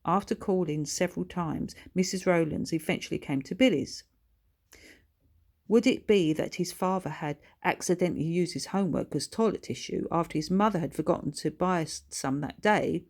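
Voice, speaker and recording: A woman reading a children's book as its author, in expressive, scripted storytelling narration. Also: on the low side, normal speed.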